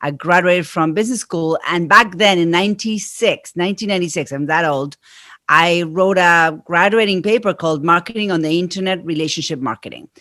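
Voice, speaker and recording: A woman's voice: fairly low pitch, fairly quick, pushed and bright, no echo. A middle-aged woman with an Argentine accent, a guest on a podcast. She explains her ideas earnestly and at length. Her voice sounds dry and close. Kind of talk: interview answers.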